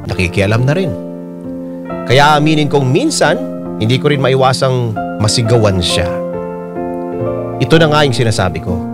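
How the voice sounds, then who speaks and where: A man's voice: medium pitch, natural voice. A male actor playing a troubled, defensive unfaithful young husband in a radio drama, both narrating and in dialogue. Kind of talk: Filipino radio drama acting and first-person narration.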